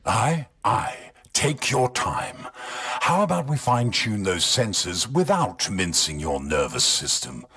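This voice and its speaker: An actor playing a gruff, wry space soldier technician in a video game, with a sardonic manner. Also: male voice, on the low side, very animated, slow, bright, forceful tone, exaggerated voice. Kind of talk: scripted video game voice acting.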